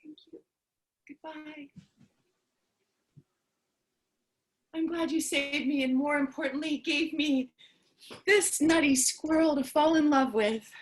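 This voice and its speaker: A woman's voice: high voice, fairly even intonation, a little slow. A woman playing a tender mother, the last woman on earth, in a staged reading. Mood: grateful. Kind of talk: staged reading, storybook narration.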